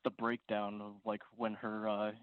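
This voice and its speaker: A man calling in to an advice show, a service member speaking in a hesitant, halting way. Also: lowish voice, smooth, dry recording, phone-quality sound. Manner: hesitant, halting, flat delivery. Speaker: caller, military service member.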